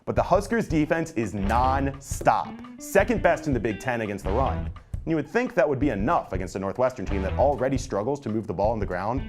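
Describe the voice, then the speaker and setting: A man presenting a scripted, punchy sports hosting segment. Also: mid-range voice. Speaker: TV sports betting show host. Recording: music bed behind the voice.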